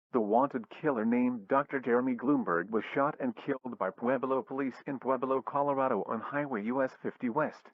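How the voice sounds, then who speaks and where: A man's voice: medium pitch, dry recording. A man reading a scripted civil emergency announcement, formal and impersonal, with a synthetic-sounding delivery. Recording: dull, lo-fi radio alert audio.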